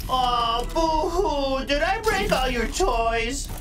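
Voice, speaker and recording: A woman's voice: high-pitched, animated, takes it slowly, heavy background noise. An actress playing a cocky, foul-mouthed young woman hacker in a video game, taunting and sarcastic. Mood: mocking. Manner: brash, sarcastic, swearing. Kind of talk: video game cutscene acting.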